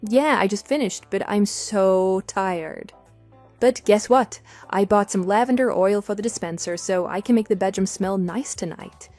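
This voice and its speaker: A woman's voice: mid-range voice, measured pace, darkish tone, soft background music, natural voice. A woman playing an affectionate, chatty working wife in an audio drama. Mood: fond, playful. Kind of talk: scripted texting-story radio drama.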